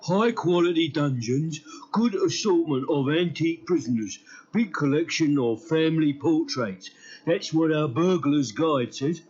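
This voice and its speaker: An actor playing an obedient burglar's henchman with a husky voice in a cartoon audio story. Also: fairly high pitch, expressive, a little slow, darkish tone. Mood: eager. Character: eager burglar henchman reciting loot to his boss. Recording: muffled cassette transfer.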